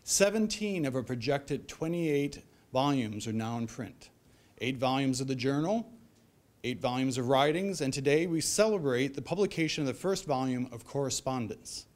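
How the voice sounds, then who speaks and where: A middle-aged man with an American accent speaks at a medium pitch, reading from prepared notes. He delivers a formal introduction from a lectern, as the host of an event. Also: animated, moderate pace. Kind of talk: prepared introduction speech, read aloud. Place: library event room, at a lectern.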